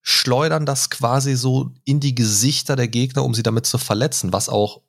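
A man's voice: mid-range voice, steady tone, moderate pace, creaky. A man hosting a podcast, chatting casually and often adding filler words and tag questions. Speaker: podcast host. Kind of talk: casual chat.

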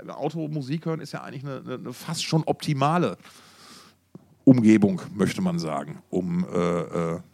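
A man's voice: on the low side, normal speed, vocal fry, mellow, no echo. A man chats casually as a podcast co-host, in a colloquial, effusive way full of interjections and slang. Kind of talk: casual music podcast chat.

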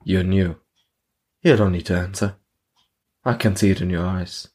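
A man voicing a grim, weary veteran soldier with a calm, low, ominous delivery. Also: normal speed, breathy edge.

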